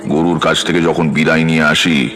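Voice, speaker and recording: A man playing a tantric practitioner who narrates a horror audio story in a measured, suspenseful way. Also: rumbling low, level tone, music in the background. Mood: reflective. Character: male tantric practitioner recounting his eerie past rites.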